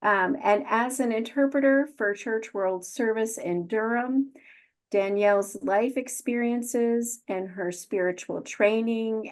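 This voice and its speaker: A woman hosting a webinar on a video call, introducing guests with hesitant pauses and frequent ums. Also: mid-range voice. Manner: slow, hesitant with many ums. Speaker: webinar host.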